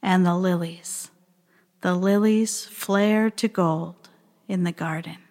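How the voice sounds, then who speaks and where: A woman reciting a poem with measured, deliberate phrasing. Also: on the low side, steady tone, a little mellow. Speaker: poet or reader reciting a poem. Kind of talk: slow poetry reading.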